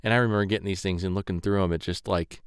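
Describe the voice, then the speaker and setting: A man's voice: sits low, steady tone, very fast, smooth, dry recording, clean studio sound. A middle-aged man co-hosting a podcast, chatting casually in a rambling, self-deprecating way. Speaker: podcast co-host. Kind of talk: casual chat.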